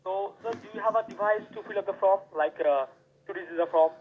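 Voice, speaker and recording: A man cold-calling as a scam caller, pushing a scripted pitch and spelling letters out slowly over a phone line. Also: slight background noise. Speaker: scam caller posing as support.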